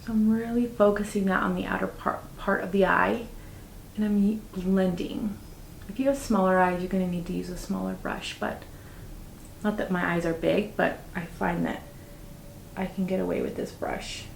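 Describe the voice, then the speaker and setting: A woman vlogger chatting through a makeup tutorial, upbeat and full of fillers, her voice a little raspy from just waking up. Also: medium pitch, fairly even intonation, moderate pace, echoey room, a little hiss or hum, low-quality recording. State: slightly raspy, just woke up. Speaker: beauty vlogger. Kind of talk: casual makeup tutorial talk.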